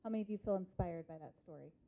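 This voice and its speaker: A woman in her thirties with an American accent gives an interactive motivational talk in a conference hall, speaking emphatically with deliberate pauses. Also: on the low side. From Idaho, United States. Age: 30s. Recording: muffled lo-fi live hall recording.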